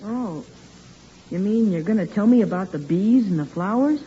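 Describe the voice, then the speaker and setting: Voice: medium pitch, animated, moderate pace, natural voice. A performer playing a blunt, wisecracking young son with gee-whiz boyishness, in 1940s radio comedy style. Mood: cheeky, curious. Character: cheeky, skeptical schoolboy son in a sitcom. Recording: noisy, dull old radio recording.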